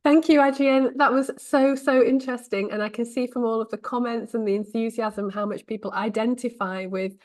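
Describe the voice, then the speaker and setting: A woman's voice: on the high side, steady tone, brisk, hardly any pauses. Upbeat woman hosting a webinar Q and A. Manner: enthusiastic, upbeat. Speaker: webinar host. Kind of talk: hosting an online Q and A.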